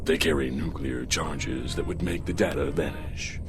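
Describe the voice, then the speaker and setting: A man voicing a commanding, scheming robot newspaper boss in a video game, giving deliberate orders. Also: rumbling low, steady tone, heavy background noise. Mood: commanding, secretive. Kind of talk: video game character acting.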